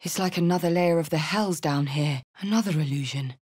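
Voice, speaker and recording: Low-voiced, brash tiefling warrior woman. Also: a little fast, darkish tone, slightly rough. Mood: guarded. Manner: blunt, earthy, salty-tongued. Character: brash, battle-hardened tiefling barbarian woman, escaped from the hells. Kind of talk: video game character voice lines.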